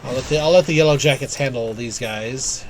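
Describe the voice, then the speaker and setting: A man's voice: mid-range voice, a little fast, a little hiss or hum, rough recording. A man giving casual let's-play commentary as a game streamer.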